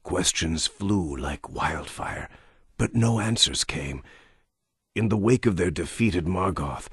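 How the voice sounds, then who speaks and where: Grave, husky male narrator. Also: low voice, dry recording, studio quality. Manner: grave, measured storytelling. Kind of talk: scripted epic narration.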